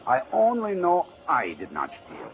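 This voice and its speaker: A man's voice: sits high, huge pitch swings, a little slow, heavily acted. Tinny old-radio indignant night watchman. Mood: defensive. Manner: broken English, protesting. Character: put-upon foreign-born night watchman, indignant working man. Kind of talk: 1940s radio acting. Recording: tinny, noisy 1940s radio recording.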